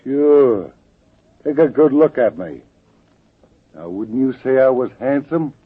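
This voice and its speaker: An actor playing a dim, brutish thug with an American accent, blunt and threatening, in 1940s radio drama style. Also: male voice, medium pitch, animated, slow, slightly rough. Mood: gruffly cocky. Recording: tinny old radio recording.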